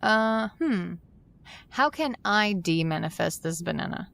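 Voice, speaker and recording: An actress playing a curious, wonderstruck newcomer to new technology in an audio drama, with a creaky voice. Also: female voice, mid-range voice, lively intonation, measured pace, fairly bright tone. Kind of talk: scripted audio drama acting.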